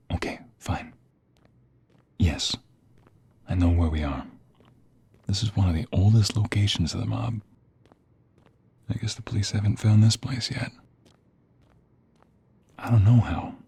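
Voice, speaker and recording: A man playing a protective, tender mobster in a hushed, intimate and urgent ASMR romance roleplay, with a breathy, gravelly voice. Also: on the low side, measured pace, pauses often, heavily acted. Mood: tense, determined. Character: protective, tender mobster rescuing his lover.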